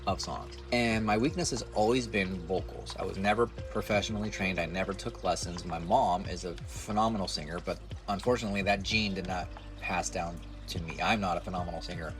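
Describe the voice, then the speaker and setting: A man in his forties talks to the camera in a loose, rambling way, poking fun at himself. His voice sits very low and sounds nasal. Music plays clearly behind him. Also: fairly even intonation, brisk, hardly any pauses. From United States. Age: 40s. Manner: rambling, self-deprecating, chatty. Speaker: musician and content creator. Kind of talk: casual talk to camera.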